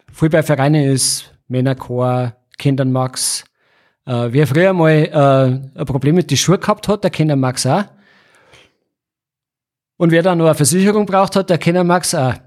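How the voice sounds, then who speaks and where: A man with a Bavarian accent hosting a podcast interview in an informal, somewhat halting conversational way. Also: a little high, darkish tone.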